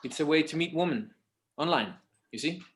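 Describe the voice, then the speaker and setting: High-pitched, casual, patient grown son played by a man. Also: expressive, a bit bright, slight background noise. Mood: explaining. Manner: casual, halting, mixes in Spanish. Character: patient, slightly exasperated adult son. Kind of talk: virtual table read of a screenplay.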